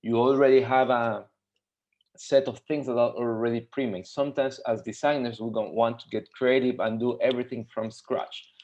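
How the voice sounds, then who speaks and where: A man's voice: mid-range voice, steady tone, moderate pace, darkish tone. A man from Mexico, a UX designer, teaching a live webinar tutorial with many fillers and restarts. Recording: dull lo-fi webinar audio.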